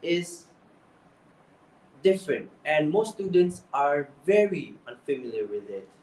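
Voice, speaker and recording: A man's voice: on the high side, sing-song, measured pace, darkish tone, distant mic, slight background noise. Young Malaysian man coaching, echoey room. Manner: earnest, repeats and restarts phrases. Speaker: English speaking coach. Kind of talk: exam tips talk.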